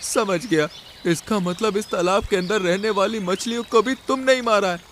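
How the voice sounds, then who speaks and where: A man voicing a trusting father pigeon in a cartoon, earnest and expressive. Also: sits high, normal speed, a little hiss or hum, pitched above the performer's own voice. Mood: sorrowful.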